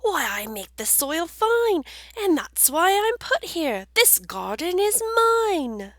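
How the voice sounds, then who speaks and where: A woman voicing a tiny worm in a storybook, high and sighing. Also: very animated, takes it slowly, a bit bright, no echo, clean studio sound, exaggerated voice. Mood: proud.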